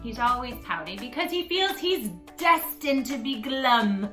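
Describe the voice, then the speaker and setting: Very animated, high-voiced woman reading to children. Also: measured pace, reverberant, faint music behind. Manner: animated, affectionate, coaxing, speaks directly to young listeners. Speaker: children's story reader, tutor. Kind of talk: read-aloud storytelling for young children.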